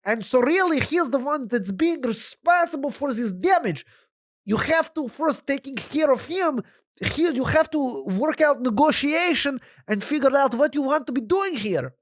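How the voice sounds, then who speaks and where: A man voicing a simple, excitable male wagon driver who speaks broken English in a raised voice. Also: moderate pace, no echo. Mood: pleading. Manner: broken English, raised pitch, talks in a rush.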